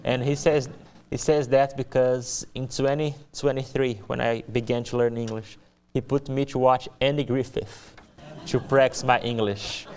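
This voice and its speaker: A young man with a Brazilian accent and Portuguese as his first language presents his missionary work to a church. His voice is smooth and clean at a middle pitch. He speaks deliberately, restating key phrases for emphasis. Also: steady tone, darkish tone. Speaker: missionary giving a ministry presentation. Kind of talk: church testimony speech to a congregation.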